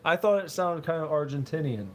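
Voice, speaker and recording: A man's voice: fairly high pitch, brisk, a little hiss or hum. A man hosting a casual building stream, laid-back, telling stories and reading chat aloud. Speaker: streamer host.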